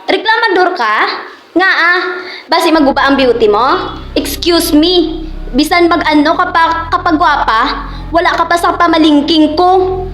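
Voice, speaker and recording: A woman's voice: very high voice, measured pace, fairly bright tone. A woman with a Filipino Hiligaynon accent playing a haughty, jealous lady of the house in an amateur radio drama, scolding and taunting. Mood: mocking. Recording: echoey, muffled, noisy room with music.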